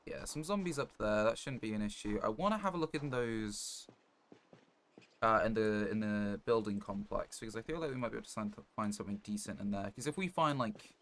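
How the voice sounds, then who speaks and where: A man with a fairly low voice is live streaming a survival game. He talks casually and thinks aloud in short, broken phrases. There is clear noise behind him, with clicking. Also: brisk, a bit bright. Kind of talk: casual gameplay commentary.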